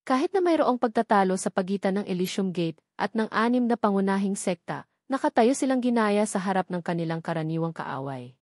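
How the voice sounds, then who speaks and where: Female audiobook narrator, mid-pitched, scripted story reading, very dry close recording. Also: a little mellow, no room sound.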